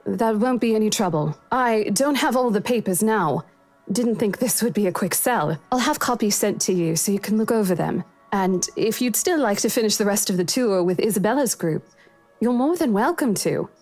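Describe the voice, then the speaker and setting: An actress playing a matter-of-fact, somewhat reluctant estate agent in a visual novel game. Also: female voice, mid-range voice, animated, moderate pace, darkish tone. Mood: reluctant, businesslike. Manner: matter-of-fact, hesitant, accommodating. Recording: music under the voice.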